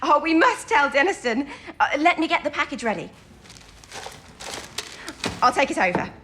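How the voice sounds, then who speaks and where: An actress playing an eager, warm-hearted young female codebreaker in a wartime audio drama. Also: high-pitched, very animated, normal speed, slight background noise. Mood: breathless excitement. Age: young adult.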